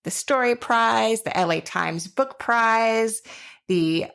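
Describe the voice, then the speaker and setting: A woman's voice: fairly high pitch, takes it slowly, gravelly, a bit bright, smooth. A woman from Florida with an American accent, co-hosting a podcast in an enthusiastic, informal conversational way. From Florida, United States. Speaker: podcast co-host, writer.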